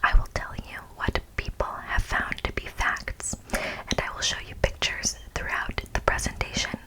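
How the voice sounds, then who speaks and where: An American woman doing ASMR, reading an informative speech in a hushed, deliberate manner with playful asides to the listener. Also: low-quality recording. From United States. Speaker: ASMR host.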